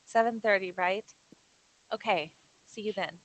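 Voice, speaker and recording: A woman's voice: medium pitch, measured pace, dry recording, natural voice, low-quality recording. A woman playing a young woman talking on the phone in an amateur script table read. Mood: bored, reassuring.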